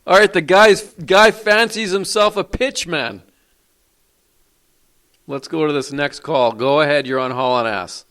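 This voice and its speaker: A man hosting a call-in show, brisk and dry, dismissive of prank callers. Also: on the high side, a bit bright, clean tone.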